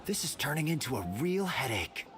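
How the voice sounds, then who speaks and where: Game underling: anxious, obedient agent, slightly high voice, animated. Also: male voice, fairly quick, a little hiss or hum. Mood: exasperated. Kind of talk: video game voice acting.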